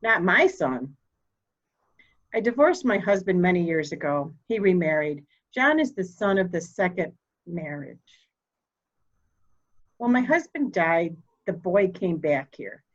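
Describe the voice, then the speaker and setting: A woman's voice: on the low side, big pitch swings. Woman acting a cold, blunt old mother, muffled sound. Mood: composed, candid. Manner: formal, deliberate, blunt. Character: proud, cold old mother, candid and slightly deaf. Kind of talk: dramatic reading of a murder mystery.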